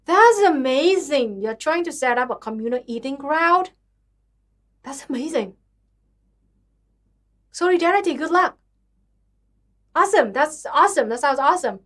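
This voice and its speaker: A young woman with a Vietnamese accent and a high voice, chatting on her livestream. She sounds tired and talks in a chatty, apologetic, self-deprecating way, reading messages and answering viewers. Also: lively intonation, measured pace, long pauses, fairly bright tone. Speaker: livestream host. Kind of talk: casual livestream chat with singing.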